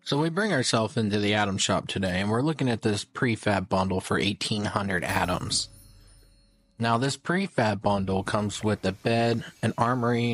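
Low-voiced man narrating a gaming video. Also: fairly bright tone, no echo. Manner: rambling, conversational. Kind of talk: casual game update commentary.

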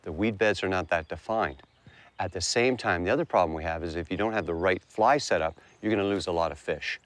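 A middle-aged man presenting a fishing segment to camera outdoors by a riverbank, calm and explanatory. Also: low-pitched, fairly quick, no room sound. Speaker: fishing show host.

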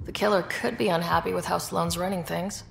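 A young space explorer woman in a game, dry and self-assured, lots of fry, echoey with faint noise. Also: on the low side, steady tone, a little fast. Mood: businesslike. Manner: wry, terse, self-assured. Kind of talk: video game dialogue acting. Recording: roomy echo, faint background noise.

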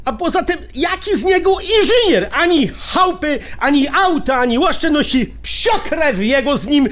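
An actor playing a stubborn, hot-tempered older brother with a Polish highlander accent, indignant and blustering in an audio drama. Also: male voice, sing-song, moderate pace, a bit bright, full character voice. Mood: scornful, quarrelsome. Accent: Polish highlander (Goral). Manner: indignant, blustering, exclaiming in rural dialect.

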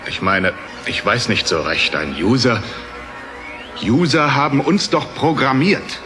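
A man's voice: mid-range voice, big pitch swings, unhurried, music in the background. An actor playing an arrogant villainous program commander who is uneasy before his master, in a German radio play.

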